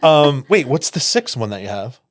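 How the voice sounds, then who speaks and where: A man who grew up in the Northeastern United States, talking as a guest on a podcast. He is animated, laughs a lot and fills his sentences with "like". His voice sits mid-range and is recorded close and dry. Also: mid-range voice, fairly quick, no echo. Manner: animated, laughs often, lots of "like" fillers. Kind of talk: casual conversation.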